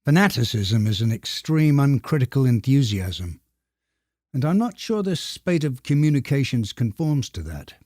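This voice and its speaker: Mellow, deadpan man in a dry room. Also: mid-range voice, no echo. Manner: measured, deadpan, slightly formal. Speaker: podcast co-host. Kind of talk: conversational podcast discussion.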